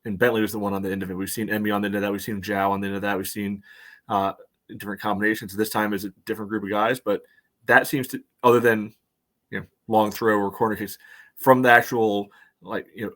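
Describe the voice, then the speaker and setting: An American man co-hosting a casual soccer podcast, breaking down play in a halting way full of verbal tics. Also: on the low side, flat delivery, fairly bright tone. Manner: halting, peppered with "you know". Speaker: podcast co-host and soccer supporter. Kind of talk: casual sports analysis chat.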